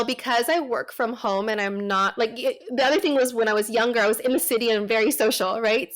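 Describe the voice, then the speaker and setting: Woman, 30s, American accent, chatty, laughing, fillers and restarts, middle pitch. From Taiwan, raised in the United States. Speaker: guest. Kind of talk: casual interview conversation.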